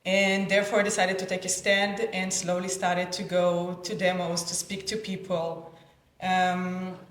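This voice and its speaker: Halting, even-toned woman in an echoey room. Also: fairly low pitch. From Israel. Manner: halting, with many pauses and hesitations. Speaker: peace activist panelist. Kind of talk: personal testimony at a panel discussion. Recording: roomy, echoing event space.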